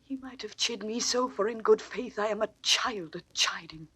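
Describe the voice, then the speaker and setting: A breathy, grief-stricken young wife. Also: female voice, medium pitch, bright, forceful tone, no room sound. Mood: wounded. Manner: slow, plaintive, breathy, often near whisper. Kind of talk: classical Shakespearean stage acting on record. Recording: old dry studio drama record.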